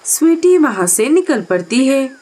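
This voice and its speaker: High-pitched, slow-paced woman storyteller. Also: big pitch swings, dark tone. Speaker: narrator. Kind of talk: scripted children's story narration.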